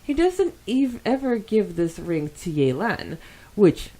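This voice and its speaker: A woman co-hosting a podcast, chatting casually and enthusiastically as she reads out and reacts to listener comments, quick to giggle. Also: mid-range voice, big pitch swings, warm tone. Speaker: podcast co-host. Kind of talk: casual podcast talk, reading and discussing fan comments.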